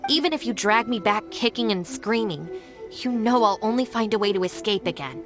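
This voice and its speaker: A woman playing a composed, stubborn young gang deputy in a video game, speaking in formal, measured phrases. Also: mid-range voice, lively intonation, music in the background, close to an everyday voice. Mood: resolute. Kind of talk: scripted video game voice acting.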